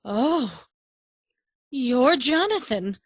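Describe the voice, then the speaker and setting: A woman's voice: high-pitched, very animated, drawn-out words, dry recording, heavily acted. A woman playing an anxious young neighbour in a radio play, exclaiming in alarm. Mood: uneasy.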